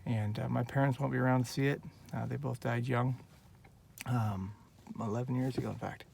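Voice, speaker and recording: A man in his late forties from Northern California talks straight into his handheld phone in a casual personal vlog, earnest and heartfelt, wandering from thought to thought. His voice is heavily gravelly with a lot of fry, sitting at an ordinary middle pitch. A faint hiss of background noise sits under the recording. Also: steady tone, moderate pace, a little mellow. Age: 40s. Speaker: vlogger.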